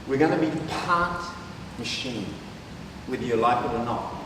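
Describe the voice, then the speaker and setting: A man's voice: medium pitch, normal speed, distant mic, background noise. New Zealand man in an echoey hall. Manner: conversational, rhetorical tags like "right?". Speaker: presenter. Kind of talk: conference presentation.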